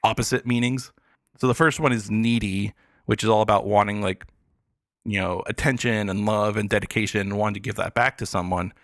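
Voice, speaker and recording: A man chatting casually about the arts on a podcast, explaining things in a halting way with lots of filler words. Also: on the low side, fairly even intonation, fairly bright tone, dry recording, clean studio sound. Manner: hesitant, filler-heavy explaining. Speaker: podcast guest reviewing pop albums. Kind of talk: casual arts talk chat.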